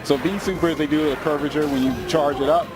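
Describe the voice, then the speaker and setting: A man's voice: a little high, steady tone, fast, over music. A man giving casual colour commentary as a co-commentator, in a laid-back, slangy way. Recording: busy crowd behind, live event. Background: crowd at a gaming tournament.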